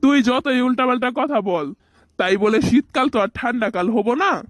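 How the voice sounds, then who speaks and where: Man as contrary older brother, comic cartoon, teasing, Bangladeshi accent, unusually high voice, no room echo. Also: animated, moderate pace. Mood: stubborn, argumentative.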